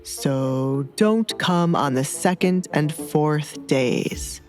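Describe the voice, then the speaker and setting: Woman as a low, slow, menacing nobleman. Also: a little mellow, soft background music. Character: menacing, teasing, smug male nobleman, newly married.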